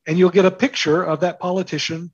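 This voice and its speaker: A man with a slightly high, husky voice talks as an interview guest. He explains in an emphatic way, repeating key phrases for stress. The sound is dry and a little muffled. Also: little pitch movement, no echo. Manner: emphatic, with deliberate repetition for stress. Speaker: interview guest, author and commentator.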